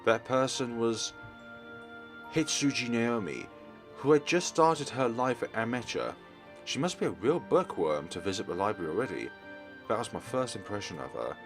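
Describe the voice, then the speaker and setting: A man's voice: mid-range voice, expressive, normal speed, faint music behind. Man reading a visual novel aloud. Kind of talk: reading game narration aloud.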